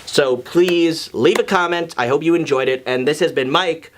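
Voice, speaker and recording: A man hosting a video channel, talking casually to his viewers in a rambling, halting way as he thinks aloud. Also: on the high side, a little hiss or hum. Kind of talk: casual outro addressed to viewers.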